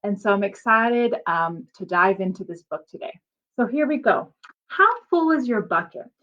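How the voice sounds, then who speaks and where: A woman's voice: mid-range voice, expressive, moderate pace, a bit bright, highs cut off. A woman reading a picture book aloud like a teacher, expressively and with simple explanatory asides for young children.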